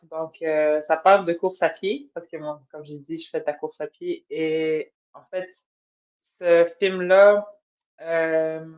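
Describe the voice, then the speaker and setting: A woman from an Atikamekw community, a guest artist speaking casually and hesitantly on a podcast. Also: fairly low pitch. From Atikamekw community in Quebec. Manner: hesitant, many fillers and restarts. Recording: dull lo-fi sound.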